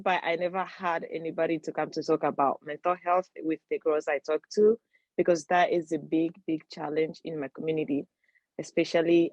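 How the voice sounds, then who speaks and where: A woman from Tanzania, founder of a women's organization, talking on a video call in a halting, unhurried way, searching for words. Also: deep voice, darkish tone, dry recording. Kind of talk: casual talk on a video call. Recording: dull, dry video call audio.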